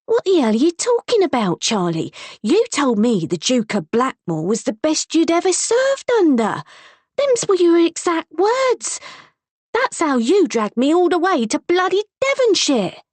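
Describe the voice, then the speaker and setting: A woman's voice: fairly high pitch, wildly swooping pitch, normal speed, hardly any pauses, husky, no echo, pitched above the performer's own voice, heavily acted. A woman playing a foul-mouthed wife. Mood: angry. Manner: sharp-tongued, swearing, scolding. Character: fierce coarse working-class young wife.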